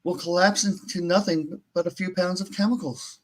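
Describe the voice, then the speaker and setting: A man's voice: high-pitched, steady tone, moderate pace, rough recording. A man playing a sober starship doctor in a live radio-theatre reading, explaining findings in a clinical manner. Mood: clinical, grave. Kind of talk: podcast live script reading.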